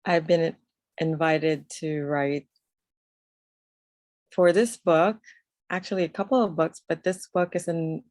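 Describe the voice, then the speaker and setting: A woman's voice: deep voice, a little mellow, dry recording. A woman raised in New York, a guest on a video call, speaking reflectively and searching for her words. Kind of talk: casual conversation over a video call.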